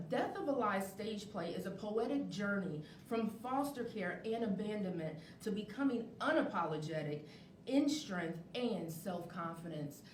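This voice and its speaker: A woman hosting a live talk show and interviewing guests. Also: fairly high pitch. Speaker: show host. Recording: echoey, distant mic, faint noise.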